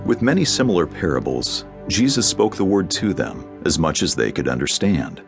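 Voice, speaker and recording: A man narrating a dramatized audio Bible in a solemn, measured storytelling manner. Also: sits low, big pitch swings, creaky, over music.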